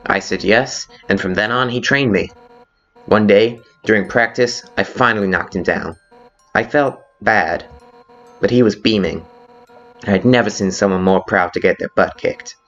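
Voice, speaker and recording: Guy doing a hot-headed monster lady guard captain, blunt game dialogue, lowish voice, kinda muffled w music under it. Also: normal speed, a bit bright. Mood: nostalgic, candid. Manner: informal, blunt, emphatic. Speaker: character voice actor in a fan dub. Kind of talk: dramatic video game dialogue. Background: background music.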